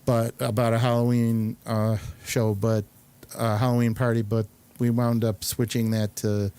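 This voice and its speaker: A man's voice: mid-range voice, flat delivery, normal speed, mellow, smooth. A man hosting a casual round-table chat about films and TV, giving his opinions as he leads the discussion. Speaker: show host.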